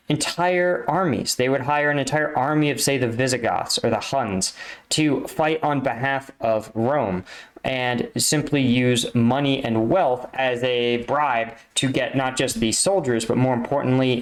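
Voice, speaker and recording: Analytical man explaining off the cuff. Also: mid-range voice. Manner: analytical, halting, searches for words, checks in with "right?". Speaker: reaction video host, army combat veteran.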